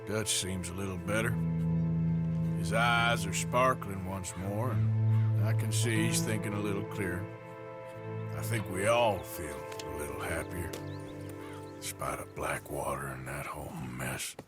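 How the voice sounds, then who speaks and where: A man's voice: low voice, fairly even intonation, a little slow, long pauses, over loud music. A man playing a weary, sardonic American cowboy outlaw in a western video game, laconic and dry with a western drawl. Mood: reflective.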